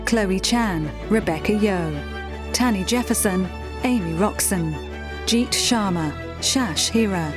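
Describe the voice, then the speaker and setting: A woman announcer reading scripted drama credits at an unhurried pace. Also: medium pitch, over music.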